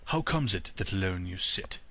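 A man's voice: sits low, animated, moderate pace, bright, forceful tone. Man doing a stiffly polite wolf suitor. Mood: courtly. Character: male wolf, a formal suitor.

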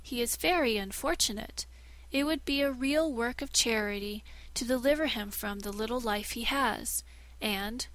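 A woman voicing a poised young princess who speaks courtly and calmly, even of cruel things. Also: a little high, no echo, poor audio. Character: cool, calculating young princess.

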